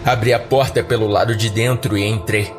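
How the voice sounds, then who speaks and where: A man's voice: lowish voice, steady tone, a little fast. A man narrating a scripted first-person horror story for a podcast. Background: faint music.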